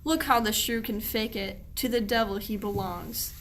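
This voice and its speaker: A woman's voice: fairly high pitch, normal speed, a little hiss or hum, lightly acted. A woman playing a wary, sardonic shepherd in a medieval radio play, speaking archaic rhyming lines. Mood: wary, scornful.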